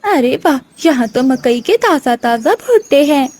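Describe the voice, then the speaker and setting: Woman voicing a high-pitched, plaintive lazy mother crow. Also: lively intonation, unhurried, a little mellow. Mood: pleased. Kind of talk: Hindi children's cartoon voice acting.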